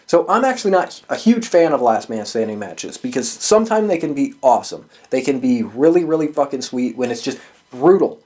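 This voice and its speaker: A man's voice: mid-range voice, lively intonation, brisk, a little mellow. A man hosting a show, giving casual, opinionated sports commentary in an emphatic, sweary way. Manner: profane and emphatic. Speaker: host of a wrestling prediction show.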